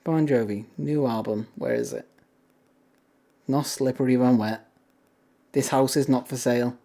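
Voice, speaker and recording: A young man with a deep voice talks loosely to his viewers on a livestream. He rambles from one thought to the next and breaks into laughter often. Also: lively intonation, a little slow, warm tone. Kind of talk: casual chat with viewers.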